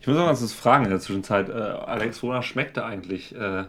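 Male, slightly low voice, informal podcast talk with faint background noise. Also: fast. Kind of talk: casual chat.

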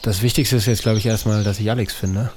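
A man's voice: lowish voice, steady tone, fast talker, background noise, natural voice. A man playing a bewildered, grumbling podcaster on an adventure in a comedic audio drama, muttering colloquially. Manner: muttering to himself, colloquial, exasperated. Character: bewildered young male podcaster, grumbling, dazed adventure hero.

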